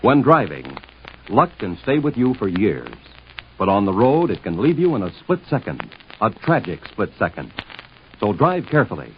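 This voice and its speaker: Deep, dramatic American radio announcer, dull recording. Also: male voice, very animated, moderate pace. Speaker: public service announcer. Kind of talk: 1950s scripted radio safety announcement. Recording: dull 1950s radio, faint noise.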